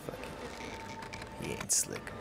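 A man's voice: takes it slowly, noisy background. A young American man giving casual, profane gameplay commentary in slangy reactions. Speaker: gaming streamer. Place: small room indoors. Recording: loud game audio behind voice.

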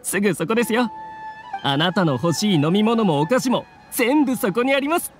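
A man's voice: high-pitched, lively intonation, moderate pace, soft background music. An actor playing a courteous, eager young man who organizes events in an anime game, speaking formally and earnestly. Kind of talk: Japanese anime game acting.

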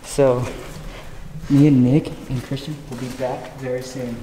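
A young man vlogging while exploring an abandoned brewery at night, excitable, sometimes hushed and swearing, on a handheld camera mic. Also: medium pitch, unhurried. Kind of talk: casual exploring vlog. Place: dark abandoned brewery indoors. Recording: echoey rooms with loud noise.

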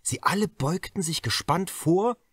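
Lively male audiobook narrator, higher-pitched voice. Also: a little slow, breathy edge, no room sound. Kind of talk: scripted crime novel narration.

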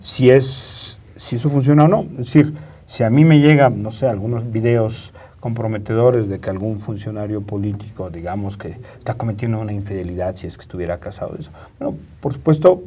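Husky, mellow middle-aged Mexican man. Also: lowish voice, a little fast. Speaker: journalist, studio guest. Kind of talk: explanatory panel interview talk. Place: TV studio, indoors, round table. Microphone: lapel mic.

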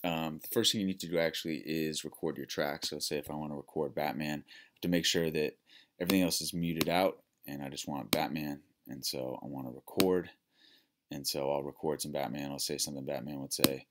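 A man giving a casual, plain-spoken, step-by-step software tutorial as a teacher. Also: very deep voice, fairly even intonation, a little fast, background noise.

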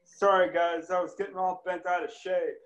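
A man reading the part of an easily annoyed bar owner in an amateur table read over a video call, shouting and complaining in exasperation. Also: sits high, level tone, moderate pace, dull audio, lightly acted. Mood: exasperated. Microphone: laptop webcam mic on video call.

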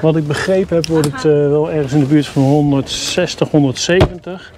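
A fairly high-voiced man talks his way through a motorhome, enthusiastic but always finding something to grumble about. The camera audio carries a clear hiss of background noise. He sounds relaxed and conversational, as if speaking to his regular viewers. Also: a little fast. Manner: chatty, enthusiastic, mildly grumbling. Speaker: vlogger reviewing campers. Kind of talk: casual walkthrough vlog. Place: inside a motorhome at a product show.

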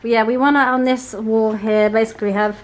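A woman's voice: fairly high pitch, steady tone, a little fast. A woman co-hosting a casual house tour, chatting in an upbeat way and laughing often. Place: house, indoors. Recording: audible room noise.

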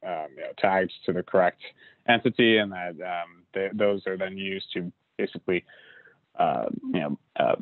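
A man giving a relaxed screen-recorded software walkthrough, with lots of uh and you know. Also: deep voice, no room sound. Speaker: software instructor. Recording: dry tinny lo-fi audio.

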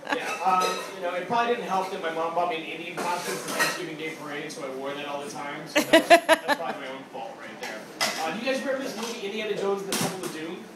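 Male stand-up, high voice, rambling and crude, handheld mic in an echoey room with a laughing crowd. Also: very animated, quick pace. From upstate New York. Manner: loose, rambling, crude, full of "like" and "okay?". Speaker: stand-up comedian. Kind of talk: open mic stand-up set. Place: small dark theater stage with a live audience.

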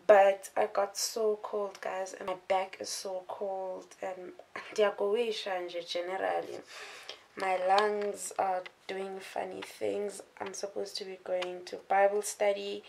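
A woman's voice: medium pitch, gravelly, darkish tone. A young woman, vlogging casually to a handheld camera in her room, chatty and giggly, slipping in isiXhosa words. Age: young adult. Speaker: vlogger, university student. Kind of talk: casual vlog chat to camera. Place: student bedroom and outdoors. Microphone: camera held at arm's length. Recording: noisy handheld camera audio.

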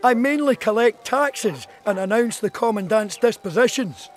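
A man's voice: high voice, expressive, moderate pace. An actor playing a courteous non-human male trader of old curios in a video game. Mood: courteous, welcoming.

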